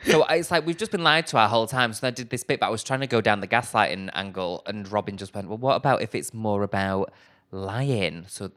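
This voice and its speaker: A man's voice: lowish voice, brisk, a bit bright. A man, a stand-up comedian, chatting in a radio interview, camp and self-mocking, cracking jokes as he goes.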